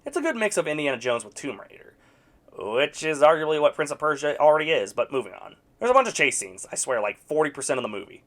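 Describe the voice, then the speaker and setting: A man's voice: a little high, very animated, fast, a bit bright. A man reviewing video game movies in a scripted, casual voice-over, wry and sarcastic with mock-exasperated outbursts.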